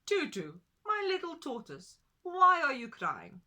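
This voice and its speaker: A woman's voice: high voice, huge pitch swings, unhurried, pushed and bright, pitched above the performer's own voice, heavily acted. A woman voicing a polite, helpful male wild duck in a storybook. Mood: caring. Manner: polite, firm.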